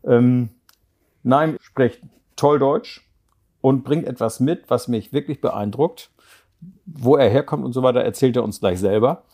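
A man's voice: mid-range voice, a little slow, mellow. Man hosting a business podcast interview. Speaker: podcast host. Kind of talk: conversational interview.

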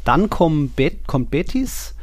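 A man's voice: a little high, big pitch swings, unhurried, dry recording. A man co-hosting a football podcast in casual discussion, speaking colloquially with exclamatory turns of phrase.

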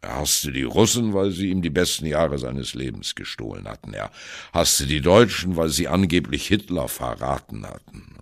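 A man playing a wry, embittered old German jazz drummer telling his life story in a radio play, with a gravelly, husky voice and a sardonic, conversational manner. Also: rumbling low, no echo, clean studio sound, full character voice. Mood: bitter, ironic. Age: older. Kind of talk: dramatic first-person radio play monologue.

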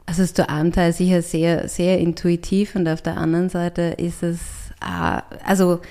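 Low-pitched thoughtful woman writer. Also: flat delivery, normal speed, gravelly, dark tone. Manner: thoughtful, searching for words with hesitations. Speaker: writer and artist, interview guest. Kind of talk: reflective conversational interview.

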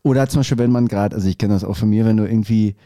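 A man's voice: on the low side, very fast, dark tone, dry recording, studio quality. A young man co-hosting a podcast, talking casually in long rambling turns with stammered restarts and cheeky jokes. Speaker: podcast co-host. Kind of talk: casual chat.

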